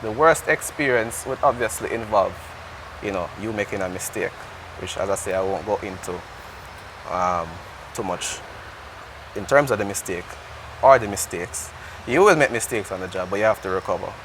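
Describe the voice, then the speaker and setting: Deep-voiced Jamaican man chatting. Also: moderate pace, pauses often, a bit bright, a little hiss or hum, poor audio. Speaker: interview guest, air traffic controller. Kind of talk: casual interview chat.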